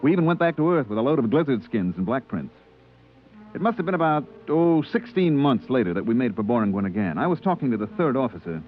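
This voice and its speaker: An actor playing an easygoing spaceship purser in a 1950s radio drama, with a colloquial manner. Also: male voice, medium pitch, very animated, quick pace, close to an everyday voice. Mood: reflective narrating. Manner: colloquial, hesitant in dialogue. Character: easygoing spaceship purser recounting his voyages. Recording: tinny vintage radio broadcast, music behind.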